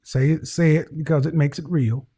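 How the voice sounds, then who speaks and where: A man's voice: a little high, fairly even intonation, moderate pace, warm tone, breathy edge. A middle-aged man hosting a call-in advice show, lecturing a caller in a harsh, repetitive way over a video call. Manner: harsh, lecturing, repeats phrases. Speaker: host.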